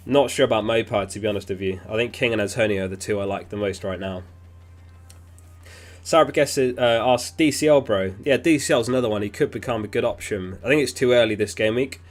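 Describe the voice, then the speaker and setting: A man with a somewhat low voice hosts a live stream in a loose, chatty way. He sounds sniffly and keeps clearing his throat. He thinks aloud with easy fillers as he answers viewers. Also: brisk. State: sniffly, getting over an illness. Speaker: fantasy football livestream host. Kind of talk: casual livestream chat reading viewer messages.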